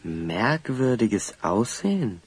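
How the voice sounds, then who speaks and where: An actor playing a sensible, quick-witted adventurer in a children's audio drama. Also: male voice, fairly low pitch, sing-song, husky, heavily acted. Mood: curious, knowing.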